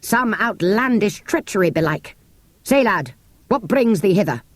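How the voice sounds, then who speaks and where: A woman voicing a gruff, haughty medieval man-at-arms in a storybook, with pompous, declamatory delivery. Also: medium pitch, expressive, a little slow, dry recording. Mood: suspicious.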